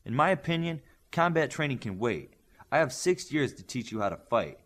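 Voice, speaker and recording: A man's voice: mid-range voice, sing-song, normal speed, a bit bright. A man voicing a stern, demanding superhero coach in an audiobook, speaking with blunt, commanding authority to young trainees. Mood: intense, challenging. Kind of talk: audiobook character dialogue.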